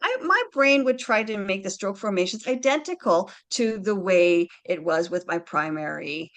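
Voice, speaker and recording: A woman gives a presentation over a video call. Her voice sits at a middle pitch, neither high nor low. She explains things in a chatty, step-by-step way and keeps checking that her audience is following her. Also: expressive, normal speed, a bit bright. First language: Slovene. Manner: chatty and explanatory, frequent "alrighty" and "right?" check-ins. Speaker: handwriting analyst presenter.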